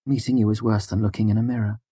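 A male narrator plays a worldly storybook woman, lifted well up in pitch and husky. She is matter-of-fact and arch, scolding hard but caring underneath. The sound is close and dry. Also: little pitch movement, fast talker, warm tone, no echo. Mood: softly sympathetic. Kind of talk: audiobook character dialogue.

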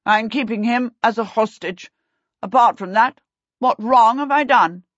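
A woman's voice: a little high, normal speed, a bit bright, no echo, dull audio, pitched above the performer's own voice. A woman voicing a haughty, menacing prince in a storybook, imperious and theatrical. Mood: demanding. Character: haughty, menacing villain prince, male, dramatic and disgusted.

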